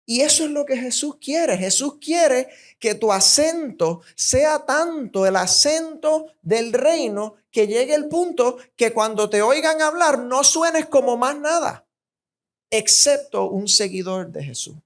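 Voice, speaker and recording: Slow, emphatic Puerto Rican woman preaching. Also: sits high, expressive. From Puerto Rico. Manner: emphatic, deliberate, repetitive phrasing. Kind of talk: sermon.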